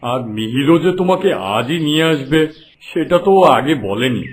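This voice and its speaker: A man playing a gentle, middle-aged, paternal king in a fairy-tale cartoon. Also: mid-range voice, animated, moderate pace, natural voice. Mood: fatherly. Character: kindly fatherly old-world king welcoming his family. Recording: clearly noisy background.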